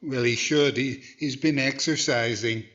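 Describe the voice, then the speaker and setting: A man playing a patient, mild-mannered straight man in 1940s radio comedy style, a little halting. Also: medium pitch, steady tone, fairly bright tone, dull audio, close to an everyday voice. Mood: polite.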